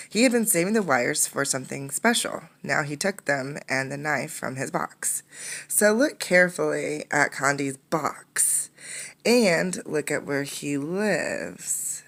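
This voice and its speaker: A woman with a low-pitched voice gives an interactive storybook read-aloud. She speaks as a teacher to children, explaining and inviting them to look and answer. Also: fairly bright tone. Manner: instructive, poses questions to young listeners. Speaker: teacher reading a picture book aloud.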